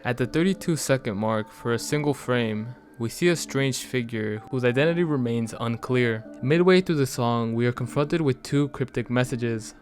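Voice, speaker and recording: A man with a medium-pitched voice narrates from a script. His delivery is measured and serious, like a storyteller walking through an investigation. Music plays clearly underneath his voice. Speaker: narrator of a video analysing a horror series.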